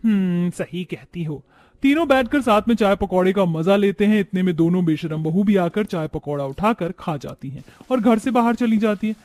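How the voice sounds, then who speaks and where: A male storyteller narrates a scripted family drama in a very high voice. He delivers it as a story told to listeners. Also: animated, brisk, few pauses, darkish tone, dry recording.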